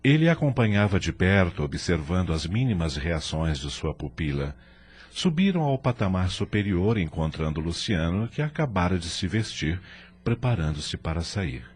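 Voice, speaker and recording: A man narrating an audio drama from a script in an expressive, literary storytelling manner. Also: sits low, moderate pace, husky.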